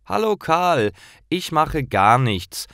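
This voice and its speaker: A man voicing Paul, an eager but unsure young man in a learner's story, with brightly emphatic dialogue. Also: on the high side, very animated, slow, no room sound, clean studio sound, pitched above the performer's own voice, full character voice. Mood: cheerful.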